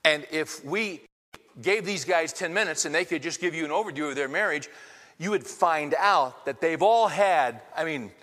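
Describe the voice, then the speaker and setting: Bright-voiced man preaching in a big hall. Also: on the high side, normal speed. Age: 50s. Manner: conversational, asks the crowd many rhetorical questions. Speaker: pastor. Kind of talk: sermon. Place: church hall with congregation. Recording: long reverb of a large hall.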